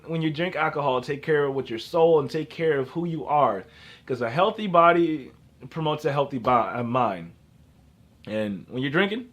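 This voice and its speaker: A man's voice: medium pitch, a bit bright. Early-twenties man, mid-range voice, casual vlogger, earnest and rambling with lots of restarts. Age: early 20s. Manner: rambling, with frequent restarts and repeats; earnest, advice-giving. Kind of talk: casual motivational vlog.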